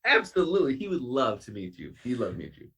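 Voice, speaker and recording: A man speaking as an interview guest, telling conversational stories with animated quoted dialogue. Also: mid-range voice, fast talker, slightly rough. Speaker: podcast guest, children's science educator and author.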